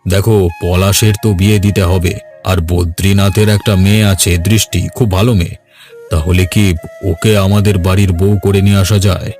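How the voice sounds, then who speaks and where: Man voicing a low-pitched, earnest village father. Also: little pitch movement, normal speed, darkish tone, close to an everyday voice. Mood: earnest, persuasive. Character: earnest village father planning his son's wedding. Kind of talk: cartoon voice acting in a family drama. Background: background music.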